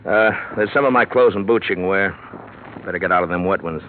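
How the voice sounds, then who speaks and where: An actor playing a troubled small-town sheriff in a 1950s radio drama, steady and plain-spoken. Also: male voice, low voice, a little fast, a little hiss or hum, close to an everyday voice. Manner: shouting over a mob, then quiet and troubled. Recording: tinny lo-fi old radio broadcast.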